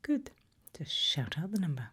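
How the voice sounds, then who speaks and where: A woman softly whispering a medical exam roleplay in ASMR style, giving gentle, deliberate instructions with pauses. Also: on the low side, huge pitch swings, a little hiss or hum. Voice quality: soft breathy whisper. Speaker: ASMR performer playing an examiner.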